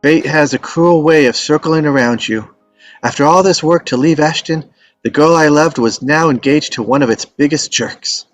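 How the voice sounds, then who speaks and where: A man's voice: fairly high pitch, moderate pace, no room sound, soft background music, close to an everyday voice. A man playing an earnest, lovestruck and stubborn young romantic in a screenplay table read, speaking sincerely and wistfully. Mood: wistful, resolute.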